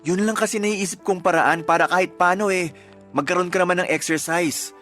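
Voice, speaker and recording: A man's voice: high-pitched, a little fast, fairly bright tone, faint music behind. A man acting as a defensive young boyfriend in a radio drama, earnestly reasoning and exasperated in an argument with his fiancée. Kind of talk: Tagalog radio drama dialogue.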